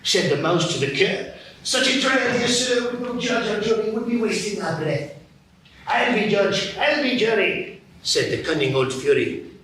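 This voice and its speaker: A man playing a touchy squeaky mouse. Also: expressive, fairly bright tone. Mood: solemn. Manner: slow, offended, storytelling. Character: touchy, long-winded male mouse. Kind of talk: live radio play acting. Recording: echoey stage, distant mic, room noise.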